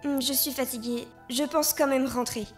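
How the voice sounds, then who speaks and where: A woman voicing a bedraggled young girl who speaks in a deadpan, blunt way in a cartoon. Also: sits high, moderate pace, faint music behind, natural voice. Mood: weary.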